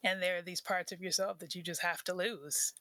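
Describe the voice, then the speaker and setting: A woman's voice: on the low side, fairly even intonation, a little fast, pushed and bright. A woman hosting a conversation, speaking thoughtfully with many fillers. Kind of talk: reflective casual conversation.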